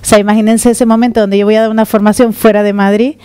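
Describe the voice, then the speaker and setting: Middle-aged woman from the Canaries, conference speaker, lively and gesturing, warm smooth voice, dry sound. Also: mid-range voice, fast talker, dry recording. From Canary Islands. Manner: lively, gesturing while walking on stage. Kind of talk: conference talk. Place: conference room with audience. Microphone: handheld microphone.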